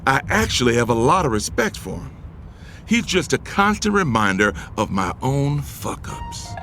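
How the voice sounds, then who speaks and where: An actor playing a scheming, arrogant middle-aged billionaire in an audio drama, both speaking in scenes and narrating, with a smug, commanding manner. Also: male voice, medium pitch, sing-song, normal speed, background noise, lightly acted. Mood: reflective, confessional. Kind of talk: audio drama acting with first-person narration. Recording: music under the voice. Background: music.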